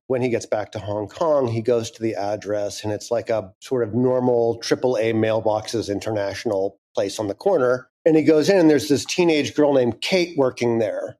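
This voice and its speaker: Man, interview guest, creator explaining his show, mellow warm tone, relaxed. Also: medium pitch.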